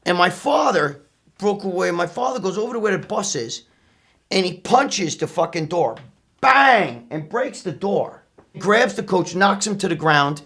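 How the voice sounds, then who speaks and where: A middle-aged man with a New York accent telling personal stories casually, brash and profane, acting out what people said. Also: sits high. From New York, USA. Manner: brash, profane, animated retelling with acted quotes. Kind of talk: casual anecdotal storytelling.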